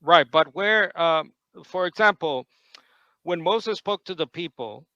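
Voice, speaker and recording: Male debater, bright and crisp, dry and slightly dull audio. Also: a little high, animated, no room sound. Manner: measured, probing questions. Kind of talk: debate cross-examination.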